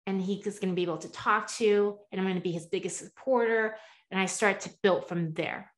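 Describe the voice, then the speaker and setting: A woman's voice: medium pitch, a little fast. Woman guest, forties, earnest, chatty asides, webcam audio from a home room. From born in the US, partly raised in Taiwan. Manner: earnest, animated hand gestures, frequent "right?" asides. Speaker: guest coach. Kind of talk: candid interview storytelling. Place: home room with shelves, video call.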